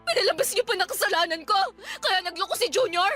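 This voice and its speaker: A woman's voice: extremely high pitch, expressive, fast, sharp tone, soft background music, full character voice. A woman playing an aggrieved, combative young live-in partner and mother in a Filipino radio drama, protesting defiantly. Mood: bitter, outraged. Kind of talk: Tagalog radio drama acting.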